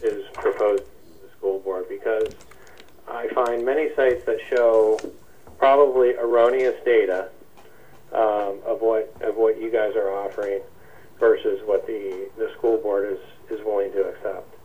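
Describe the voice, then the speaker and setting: Lowish male caller, hesitant and measured. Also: fairly even intonation, darkish tone. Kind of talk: asking questions on a call-in. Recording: phone call with faint noise.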